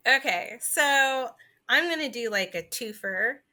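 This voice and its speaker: A woman's voice: on the high side, animated, measured pace, sharp tone. A woman co-hosting a podcast, talking casually and informally about video games, often saying "like". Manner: informal, full of "like". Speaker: podcast co-host.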